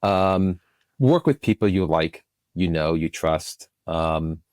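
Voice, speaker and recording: A man's voice: deep voice, lively intonation, slow pace, darkish tone, no echo, studio quality. A man speaking casually as an interview guest, often repeating words as he thinks his way through an answer. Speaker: producer, podcast guest.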